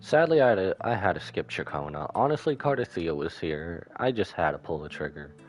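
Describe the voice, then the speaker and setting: A man's voice: deep voice, very animated, a little fast. A man giving casual, joking live commentary as he streams a video game. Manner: joking, offhand. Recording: faint game music behind.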